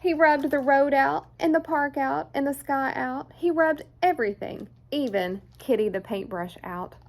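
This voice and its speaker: A woman reading a children's picture book aloud in an expressive, deliberate storyteller's way. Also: sits high. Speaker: children's story time host.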